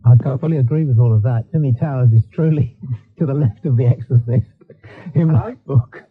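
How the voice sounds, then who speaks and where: Bloke hosting talk radio, dry n wry, bit husky, really tinny radio sound. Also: male voice, medium pitch, fairly quick. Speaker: radio phone-in host. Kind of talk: live talk radio chat. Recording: thin, band-limited radio recording.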